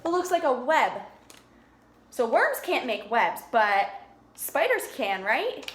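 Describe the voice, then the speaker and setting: A high-pitched woman reads a storybook to small children at a slow pace. She speaks like a preschool teacher, explaining things simply and prompting with questions. The room around her sounds echoey. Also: big pitch swings, a bit bright, a little hiss or hum. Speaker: preschool teacher reading a picture book aloud. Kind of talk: storybook read-aloud with questions to young children.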